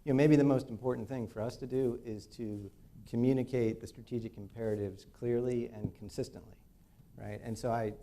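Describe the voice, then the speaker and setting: A man's voice: mid-range voice, fairly even intonation, dark tone. A man, an engineering dean, speaking off the cuff on a panel with frequent "uh" fillers and restarts. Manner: halting, many "uh" fillers and restarts. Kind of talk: unscripted panel remarks.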